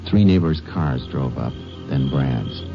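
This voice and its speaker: A man in a 1950s radio drama who narrates and plays a steady, terse lawman, a ranger giving orders. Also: extremely low pitch, slow pace, music in the background, heavily acted. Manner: terse, level and commanding. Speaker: narrator and lead. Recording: dull lo-fi old radio broadcast.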